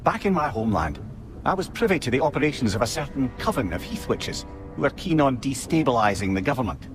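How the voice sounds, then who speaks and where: An actor with a Scottish accent playing a wry, learned old advisor in a video game, eloquent and theatrical. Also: male voice, medium pitch, big pitch swings, quick pace, soft background music, close to an everyday voice. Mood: relishing, theatrical. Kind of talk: dramatic video game acting.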